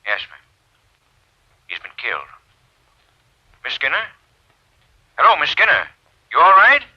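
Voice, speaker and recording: A man's voice: fairly high pitch, huge pitch swings, measured pace, husky, heavily acted. A folksy small-town lawman from a golden-age radio thriller. He stammers and rambles awkwardly, kindly but out of his depth. The sound is a dull old broadcast recording. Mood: awkward, concerned. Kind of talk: 1940s-50s radio drama acting.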